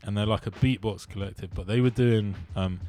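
A man in casual conversation about music, talking in a relaxed, hesitant way with lots of ums. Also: lowish voice, brisk, a little hiss or hum. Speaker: musician guest. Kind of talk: casual chat.

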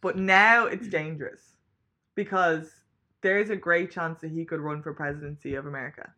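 A woman's voice: sits low, steady tone, normal speed, sharp tone. A young woman in casual, swearing chat, laughing often. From Ireland.

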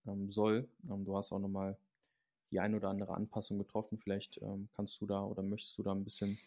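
A man's voice: fairly low pitch, monotone, brisk, a little mellow. A man, a guest athlete on a podcast, talking casually and rambling with frequent fillers.

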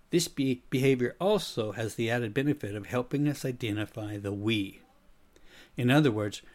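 A middle-aged man with an American accent slightly tinged with Swedish, delivering a prepared explanatory monologue in a measured, didactic manner. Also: mid-range voice, darkish tone. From United States. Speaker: podcast host, speaker and consultant.

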